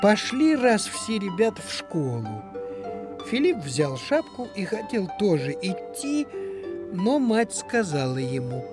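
A woman narrating a children's story in an expressive, dramatic storytelling manner. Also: mid-range voice, slow. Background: music.

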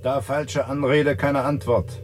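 An actor playing a terse space test pilot in a German radio play, answering in clipped, telegram-like phrases. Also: male voice, mid-range voice, little pitch movement, measured pace, natural voice. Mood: clipped, dutiful. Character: terse male space test pilot, obedient but increasingly frightened. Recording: music behind the voice.

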